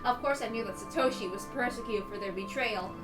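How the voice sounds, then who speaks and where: A woman's voice: a little high, fairly quick, reverberant. A woman reading a visual novel aloud as a dramatic first-person narration. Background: background music.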